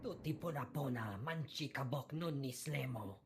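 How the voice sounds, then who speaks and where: A woman's voice: rumbling low, moderate pace, heavy background noise. A woman voicing a pushy alien who speaks an invented tongue in a video game. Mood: insistent.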